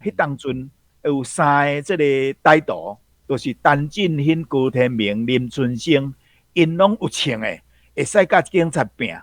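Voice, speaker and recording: A man's voice: medium pitch, no room sound. Taiwanese man explaining, dry sound. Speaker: commentator. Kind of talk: explanatory radio commentary.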